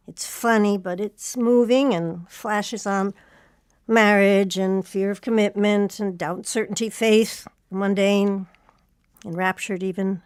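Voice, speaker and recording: A woman hosting an arts interview, asking thoughtful questions in a measured, articulate way with pauses between phrases. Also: mid-range voice, a little mellow, clear, resonant tone, no echo, clean studio sound. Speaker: interviewer, host.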